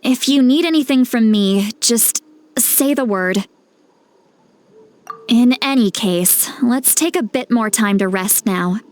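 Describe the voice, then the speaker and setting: A woman playing a caring, self-assured sage in a video game, with an assured, gently advising manner. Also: a little high, slow. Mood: reassuring.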